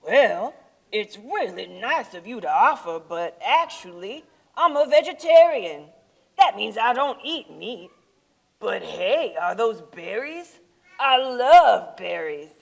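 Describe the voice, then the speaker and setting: A woman voicing a shy, gentle male Yeti in a children's puppet show, speaking in a mild, hesitant way. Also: fairly high pitch, very animated, slow, creaky, pitched below the performer's own voice, full character voice. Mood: friendly, hesitant. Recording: live puppet show performance.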